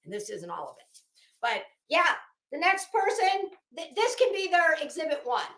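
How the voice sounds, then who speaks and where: A woman giving informal, opinionated commentary in an emphatic, scornful manner, swearing freely and pausing dramatically. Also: very high-pitched, sing-song, pushed and bright, roomy sound, poor audio. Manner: emphatic, scornful, profane, dramatic pauses and repetition. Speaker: online commentator.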